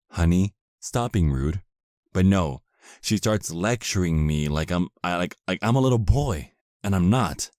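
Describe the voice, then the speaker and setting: Man acting deep-voiced, sarcastic young vampire husband. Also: a little mellow, dry recording, studio quality. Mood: exasperated. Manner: sarcastic, complaining. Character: exasperated, sarcastic male vampire husband, centuries old, looks twenties. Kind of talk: comedic audio roleplay acting.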